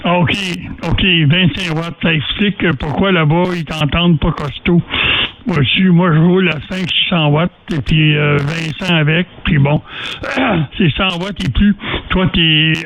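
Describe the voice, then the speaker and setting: High-pitched Quebec French man on ham radio. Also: fairly even intonation, unhurried, fairly bright tone, a little hiss or hum, poor audio. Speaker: amateur radio operator leading a group contact. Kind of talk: casual radio chat.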